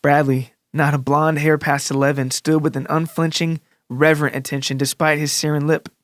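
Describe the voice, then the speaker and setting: A man narrating a scripted literary story for a podcast in a deliberate, dramatic, descriptive way. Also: on the high side, little pitch movement, dry recording, clean studio sound. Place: close, dry recording with music underneath.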